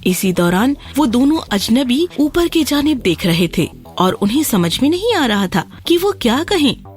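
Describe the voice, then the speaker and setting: A woman's voice: on the high side, sing-song. A woman narrating a scripted fairy tale for children as a storyteller. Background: background music.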